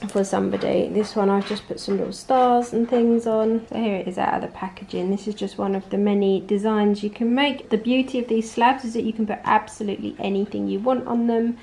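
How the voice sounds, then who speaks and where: A woman in her forties with an English accent, hoarse from a cold, chatting upbeat through a home recipe tutorial. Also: medium pitch, warm tone. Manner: chatty and upbeat, rambling asides. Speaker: home cooking vlogger.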